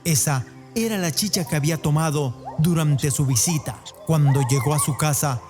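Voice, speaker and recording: A man's voice: on the high side, normal speed, darkish tone. A man narrating a radio play myth in the manner of a storyteller. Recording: music bed behind the voice. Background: music.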